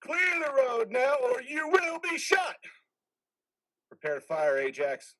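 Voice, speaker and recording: A man's voice: wildly swooping pitch, takes it slowly, full character voice. A man in a reader's theater drama playing a stern, ruthless veteran military commander with a commanding delivery. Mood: forceful, furious. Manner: commanding, swings from low growl to shouting.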